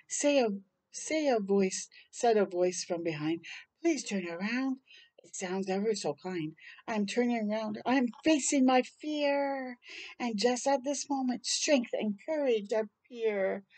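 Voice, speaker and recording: Older female storytime reader, soft mellow tone, unhurried pace, deliberate dramatic delivery for young children. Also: a little high. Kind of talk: read-aloud of a rhyming picture book with brief asides. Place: outdoors on a porch.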